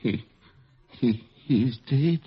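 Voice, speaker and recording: An actor playing a frightened young man from the village who speaks haltingly and stammers, in 1940s radio acting style. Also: mid-range voice, slightly rough. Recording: dull lo-fi vintage radio recording.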